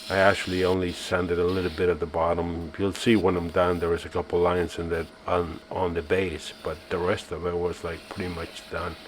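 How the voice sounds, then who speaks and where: Deep-voiced man narrating woodturning. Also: normal speed, hardly any pauses, a little hiss or hum. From Argentina. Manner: relaxed and self-mocking, with many uh fillers. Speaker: woodturning channel host. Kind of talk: casual voice-over narration.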